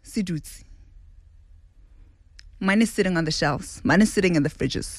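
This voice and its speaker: Animated woman in a dry studio. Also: fairly low pitch, moderate pace, no room sound. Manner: animated, mixes Setswana into English, acts out others' words. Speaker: restaurant owner, guest. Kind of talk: casual radio interview. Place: radio studio. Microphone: studio boom mic.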